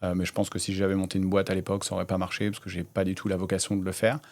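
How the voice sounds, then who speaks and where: Low, flat-voiced man in his thirties. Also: rapid-fire. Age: 30s. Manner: relaxed, many hesitations, storytelling. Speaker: startup founder, guest. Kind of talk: casual interview talk.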